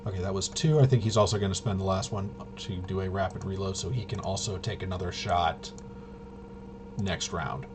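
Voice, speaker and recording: A man's voice: low voice, steady tone, fairly quick, background noise. A man casually walking through tabletop gameplay, thinking aloud and hesitantly muttering to himself as he works things out. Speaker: solo tabletop RPG player and presenter.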